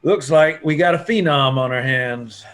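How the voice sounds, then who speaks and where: A man's voice: medium pitch, unhurried, fairly bright tone, close to an everyday voice. A man playing a persistent survivor who pines for his friend's wife, coaxing and casual, in an online table read. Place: video call. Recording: noisy online video call.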